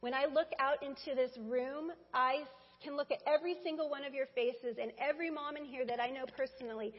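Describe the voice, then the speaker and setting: A woman's voice: high voice, fairly even intonation, a little fast. A woman preaching a Mother's Day sermon in a church, talking conversationally and speaking straight to the congregation. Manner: conversational, addresses congregation directly. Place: church sanctuary. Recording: dull sound with long hall reverb.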